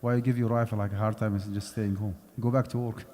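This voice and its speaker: A man explains a topic to a mosque congregation in a relaxed, conversational way, often pausing to check that his listeners follow. His voice sits low, with a dark, mellow tone. It rings out with a long reverb through a large prayer hall. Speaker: Islamic finance lecturer. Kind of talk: mosque lecture with Q&A.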